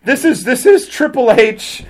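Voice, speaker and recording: High-pitched man ranting in casual banter. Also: animated, a little slow. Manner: hyperbolic, sarcastic, mock-outraged joking. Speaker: podcast host. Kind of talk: casual chat, ranting commentary.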